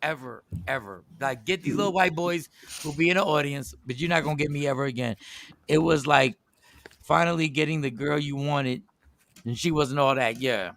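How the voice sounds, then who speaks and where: Loud comic host type: high, gravelly, bright voice, rants in repeated bursts. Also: male voice, moderate pace, no room sound. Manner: animated, loud, repeats lines for comic effect. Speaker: radio show host. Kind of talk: loud joking banter.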